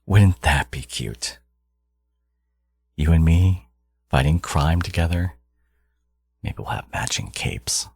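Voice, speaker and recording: A smug supervillain genius, acted out by a man, speaks extremely low and slow in an intimate audio roleplay. His delivery is a theatrical, teasing drawl with wide swings in pitch. He taunts his hero with possessive, doting arrogance. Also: expressive, vocal fry, darkish tone, breathy edge, dry recording, full character voice. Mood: teasing, menacing.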